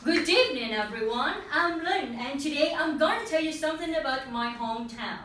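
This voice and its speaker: Young woman, Vietnamese accent, class presentation, high lively voice, far off in a very echoey room. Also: very noisy. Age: young adult. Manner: earnest, with pauses and restarts. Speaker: student presenter.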